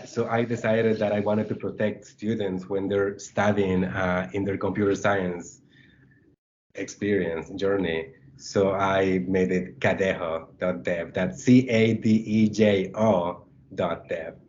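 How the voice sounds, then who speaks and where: A man from El Salvador speaks as an interview guest with a fairly low voice. He comes across as friendly and articulate, eager to talk about his work. The audio is a bit dull and lo-fi. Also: level tone, takes it slowly, darkish tone, a little hiss or hum. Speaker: guest, computer science instructor. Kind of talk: casual interview.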